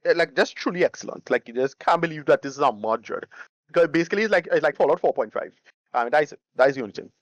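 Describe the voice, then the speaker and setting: Fast-talking man on dull audio. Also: a little high, animated, no echo. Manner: halting, repeats words and phrases. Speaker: podcast panelist. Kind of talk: casual panel chat.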